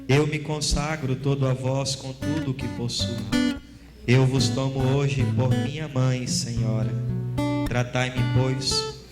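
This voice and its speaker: Brazilian preacher, 30s, fervent and earnest, loud guitar playing under him, long hall echo. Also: male voice, mid-range voice, steady tone, slow. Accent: Brazilian Portuguese. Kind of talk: prayer and live sermon. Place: large hall at a religious gathering. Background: loud guitar music.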